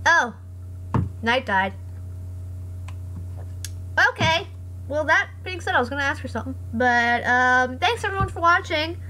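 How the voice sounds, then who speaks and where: A young woman streamer with a hoarse voice, chatting casually and reading comments aloud in a rambling, sarcastic way. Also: sits high, expressive, a little slow, long pauses, pushed and bright, a little hiss or hum. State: hoarse after voice acting. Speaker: live streamer.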